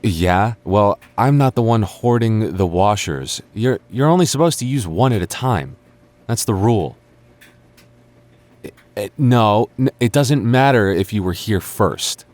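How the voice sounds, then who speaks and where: Male actor as sarcastic childhood friend, young adult, low-pitched voice, stubborn teasing, natural boyfriend-roleplay delivery. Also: expressive, a little slow, a little mellow. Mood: irritated bickering. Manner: teasing, sarcastic, stammers when flustered.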